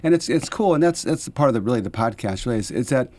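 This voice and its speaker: A man's voice: mid-range voice, expressive, rapid-fire, darkish tone, dry recording. A fifty-year-old man hosting a podcast, chatting informally and encouragingly in anecdotes, often repeating his phrases. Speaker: podcast host. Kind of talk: casual chat, anecdotal.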